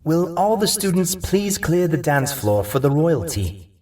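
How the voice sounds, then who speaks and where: A man's voice: a little high, sing-song, unhurried, a little mellow, voice pushed up. A man voicing a teacher announcing winners at a school dance, showy and a bit distant from the mic.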